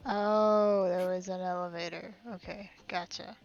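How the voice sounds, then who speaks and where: A woman's voice: fairly low pitch, steady tone, unhurried, dull audio. A woman streaming a video game with casual chat, informally talking back to the characters. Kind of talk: casual live gameplay chat.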